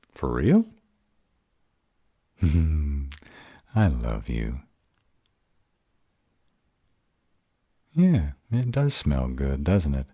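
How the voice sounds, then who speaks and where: A gruff were-dragon in love, acted by a man, speaks in an extremely low, dark voice. He is earnest and easily flustered, hesitating over tender words in an intimate romantic roleplay, with lively swings of pitch. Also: no echo, clean studio sound, full character voice. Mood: bashful, relieved. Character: gruff, bashful male were-dragon lover in human form. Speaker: voice actor in roleplay audio.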